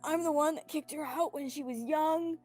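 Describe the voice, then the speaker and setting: A woman's voice: high voice, fairly even intonation, darkish tone, natural voice. A young woman playing a mournful, self-blaming small-town sheriff in a student radio play. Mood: anguished. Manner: mournful, slow, sighing. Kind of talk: student radio-theatre acting. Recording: dry, music underneath.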